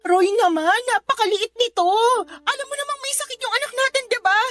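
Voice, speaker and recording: A woman's voice: extremely high pitch, expressive, brisk, a bit bright, no echo, faint music behind. A woman playing a hurt, suspicious wife and worried mother in an emotional radio drama, tearful, pleading and accusing.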